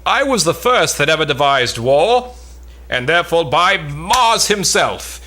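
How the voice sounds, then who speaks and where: A man's voice: fairly high pitch, sing-song, unhurried, sharp tone, exaggerated voice. A man playing a boastful, bombastic mock-warrior knight in a pompous, declamatory amateur reading of an old stage play.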